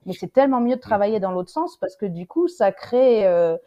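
A woman's voice: mid-range voice, expressive, normal speed. A woman on a panel chatting casually in informal, slangy language over a video call. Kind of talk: casual chat. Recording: muffled video call audio.

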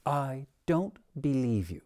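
A man's voice: mid-range voice, very animated, slow, a little mellow, dry recording, clean studio sound, pitched above the performer's own voice. A man voicing a small, polite, plucky girl in a storybook, speaking earnestly. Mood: firm.

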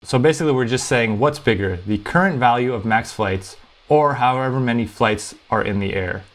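Male tutorial host, medium pitch, conversational step-by-step explainer for programming lessons. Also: moderate pace, a bit bright.

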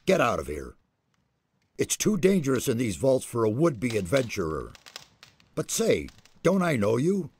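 An actor playing a haughty, irritable fantasy mage in a video game, with pompous, scolding, theatrical diction and a husky voice. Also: male voice, mid-range voice, huge pitch swings, unhurried, pauses often, a little mellow, slight background noise. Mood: angry, scornful. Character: haughty, irritable male mage guarding ancient secrets.

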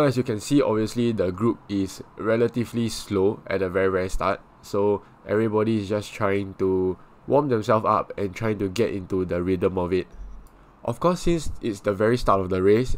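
Chatty young Singaporean man vlogging. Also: on the low side. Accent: Singaporean English. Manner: chatty, loose, with filler words. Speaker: cycling vlogger. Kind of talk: casual vlog narration. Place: outdoor cycling track on a hot morning.

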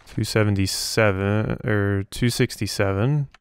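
A man's voice: lowish voice, fairly even intonation, a little slow, a little hiss or hum. A man giving casual game commentary, musing to himself as he works things out. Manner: thinking aloud, musing to himself. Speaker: let's play host.

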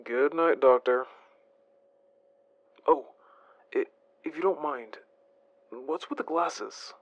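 A man's voice: a little high, takes it slowly, muffled recording. A man playing a polite, friendly former agent on night shift in an audio drama, respectful and a little hesitant. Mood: friendly, concerned. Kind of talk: naturalistic audio drama dialogue.

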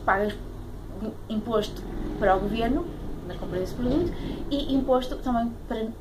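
Hesitant young Portuguese woman, noisy home recording. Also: mid-range voice. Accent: European Portuguese. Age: late twenties. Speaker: vlogger. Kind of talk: casual talk to camera, airing a pet peeve. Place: small room at home, indoors. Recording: clearly noisy home webcam audio.

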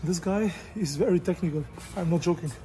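A man's voice: sits high, a little fast, dark tone, a little hiss or hum. A man in his thirties vlogging casually from an open-air Muay Thai gym, blunt and joking, in non-native English. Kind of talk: casual vlog talk.

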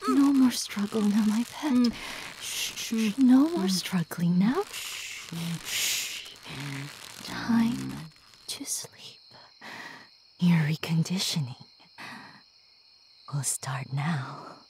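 A voice actress playing a sadistic, possessive female demon lover in an intimate ASMR roleplay, breathy and lingering, often dropping into a whisper, swinging between coaxing and menace. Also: mid-range voice, animated, drawn-out words, long pauses, background noise. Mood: soothing, sinister.

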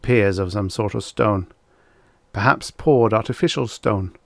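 A grim spaceship captain, read by a male narrator, low and gravelly. He is matter-of-fact, in a dry audiobook recording. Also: unhurried, dry recording. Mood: sober, decisive. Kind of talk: audiobook character voice.

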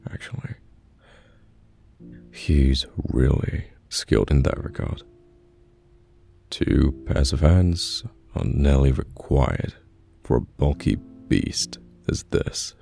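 Man playing a very deep, slow, soft-spoken boyfriend. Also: big pitch swings, vocal fry, full character voice. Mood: calm, reassuring. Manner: gentle, halting, reassuring. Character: caring, patient boyfriend reassuring a new live-in partner. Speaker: voice actor in an audio roleplay. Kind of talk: soft-spoken ASMR boyfriend roleplay, pillow talk. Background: soft piano or guitar music.

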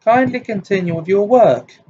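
Casting: man doing a hysterical middle-aged female seer, high and wavering, melodramatic and self-pitying; dull recording. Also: measured pace. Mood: furious. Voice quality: high-pitched, hysterical, quavering. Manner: theatrical, wounded self-pity. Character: overwrought eccentric middle-aged female divination teacher. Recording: dull lo-fi with faint hiss.

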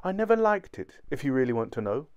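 A man's voice: medium pitch, sing-song, fast, a little mellow, lo-fi sound, voice pushed up. A man voicing an earnest, confiding wife who speaks with emotion and tenderness in an audiobook story. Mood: nervous, confessing. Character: earnest, nervous wife confessing, then gushing with tenderness. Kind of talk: audiobook character dialogue.

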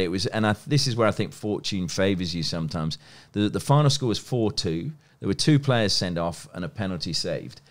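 English guy, 50s, low voice, well-spoken accent, chatty storyteller in an interview, animated. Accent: educated English. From West Country, England. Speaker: guest, sports journalist and broadcaster. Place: small plain indoor room. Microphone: close microphone on a stand.

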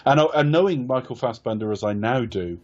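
A man's voice: medium pitch, animated, fairly quick, a little mellow. A man from Ireland hosting a casual film review podcast, rambling and wry, often correcting himself. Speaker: podcast host. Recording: dull lo-fi podcast audio.

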